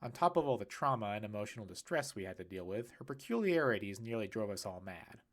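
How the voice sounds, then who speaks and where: A man's voice: lowish voice, sing-song, quick pace. A man voicing a huffy, wordy man of science in a storybook, formal and pompous. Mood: pedantic.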